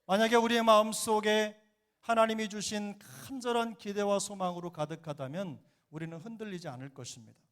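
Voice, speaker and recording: A man's voice: sits high, steady tone, a little fast, a bit bright. A middle-aged man with a slight Jeolla accent, preaching as senior pastor in a church, earnest and building to emphatic exhortation. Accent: Jeolla dialect. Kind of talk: sermon. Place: church sanctuary.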